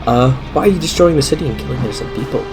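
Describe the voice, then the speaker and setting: A man acting a flustered, higher-pitched young hero. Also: lively intonation, racing, over music, natural voice. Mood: scared.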